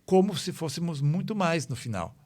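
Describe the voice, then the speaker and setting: A man's voice: a little high, animated, normal speed, darkish tone. Man explaining instruments at length. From Brazil. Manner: explanatory, restarts and lists things. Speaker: conductor guest. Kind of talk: casual podcast interview.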